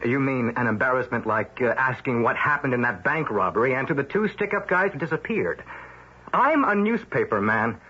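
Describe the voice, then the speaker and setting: A brash, stubborn newspaper reporter. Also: male voice, mid-range voice, animated, moderate pace, pushed and bright, slightly rough. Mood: argumentative. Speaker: lead character in radio drama. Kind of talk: 1970s radio drama acting. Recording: muffled old radio broadcast.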